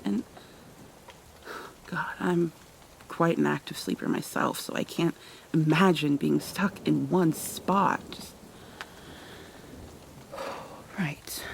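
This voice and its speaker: Woman as a slow, soft-whispering obsessive kidnapper. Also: on the low side. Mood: hesitant, accommodating. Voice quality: soft, breathy, often dropping into whispers. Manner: hesitant, halting, coaxing. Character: tender, obsessive female kidnapper soothing her captive, haunted, possessive. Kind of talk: intimate ASMR roleplay. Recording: clear background noise, rain ambience.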